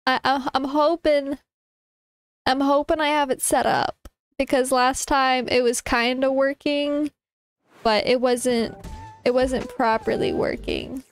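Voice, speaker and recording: A woman's voice: high voice, steady tone, slow, smooth, no echo, soft background music. A young woman streaming, chatting casually and greeting viewers one by one, her voice stuffy and congested from a blocked nose, tripping over her words now and then.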